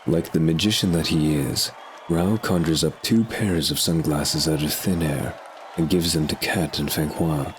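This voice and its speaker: A man's voice: extremely low pitch, unhurried, a little hiss or hum, low-quality recording. A man reading scripted narration for an audio drama.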